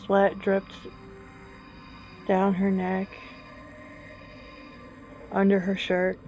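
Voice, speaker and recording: Mellow, even-toned woman telling a suspenseful story. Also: medium pitch, extremely slow. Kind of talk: slow scripted horror storytelling. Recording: dull lo-fi, music bed behind. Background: background music.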